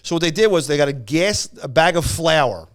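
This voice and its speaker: Stammering male storyteller with a clear voice. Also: a little high, lively intonation, normal speed, fairly bright tone. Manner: rambling, stammering, repeats himself. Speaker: storyteller on a podcast. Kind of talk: casual anecdote telling.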